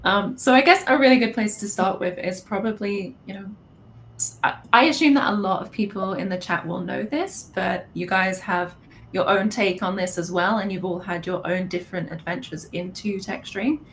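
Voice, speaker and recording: A woman's voice: mid-range voice, normal speed, fairly bright tone, low-quality recording. A woman hosting a live stream panel as product manager, friendly and self-deprecating, speaking over a computer mic on a video call. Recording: faint background noise.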